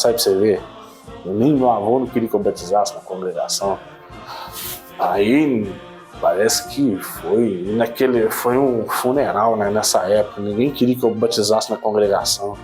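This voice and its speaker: A man in his thirties giving a casual personal church testimony, animated at times and subdued at others, often tagging his sentences with a questioning "né". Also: lowish voice, normal speed, faint music behind. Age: 30s. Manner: animated storytelling, frequent "né?" tags, swings between excited and subdued.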